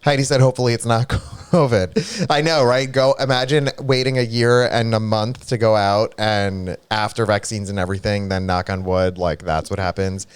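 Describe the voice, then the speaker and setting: A male streamer with a congested, stuffy nose chats casually with his audience. His tone is smooth and resonant. The sound is dry and close. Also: on the low side, normal speed, dry recording. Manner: chatty, reads viewer comments aloud, animated asides. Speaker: streamer co-host. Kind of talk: casual live-stream chat.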